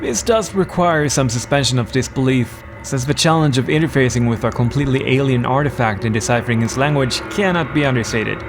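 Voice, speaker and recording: Guy doing a scripted lore voiceover, dry jokey asides, mid pitch, music going underneath. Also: expressive, a little fast, few pauses. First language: Swedish. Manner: wry, playful asides. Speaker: lore video narrator. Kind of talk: scripted narration. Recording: voice-over with music bed.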